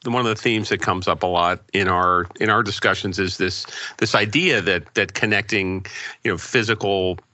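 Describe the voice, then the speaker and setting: Low bright-toned man hosting a podcast. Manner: halting, many fillers and restarts when asking. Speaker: podcast host. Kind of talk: podcast interview.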